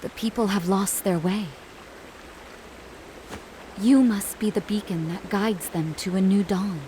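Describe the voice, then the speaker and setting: An actress playing a prince's gentle mother in a video game, solemn, tender and earnest. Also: mid-range voice, unhurried, close to an everyday voice. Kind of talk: dramatic video game acting. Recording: clear background noise, outdoor ambience.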